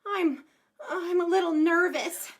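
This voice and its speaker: A woman plays a small nervous forest animal for a puppet show for young children. The voice is very high, shy and hesitant, with little stammers. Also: unhurried. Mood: timid, nervous. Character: timid, nervous small fluffy-tailed woodland animal.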